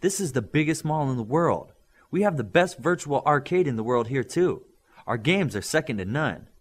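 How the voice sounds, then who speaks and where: A man reading an audiobook, voicing a relaxed and familiar young man. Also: mid-range voice, normal speed, husky, pitched above the performer's own voice.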